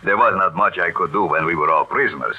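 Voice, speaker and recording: An actor playing a courteous, modest, formal doctor in a 1940s radio drama. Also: male voice, sits low, fast, slightly rough. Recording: muffled old radio recording.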